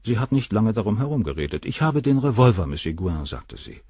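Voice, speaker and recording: A cynical, self-satisfied surgeon in a radio crime drama. His voice is low and husky, with lively swings in pitch. It is recorded close and dry. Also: a little fast, no room sound. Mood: cool. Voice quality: cool, cynical, dismissive. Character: cold arrogant famous male surgeon, middle-aged. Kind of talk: radio play acting.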